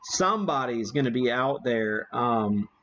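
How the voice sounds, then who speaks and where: A man's voice: medium pitch, lively intonation, unhurried, fairly bright tone, lo-fi sound. A man hosting a casual live hobby demonstration, chatting in a folksy, self-deprecating way. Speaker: live stream host, amateur radio hobbyist.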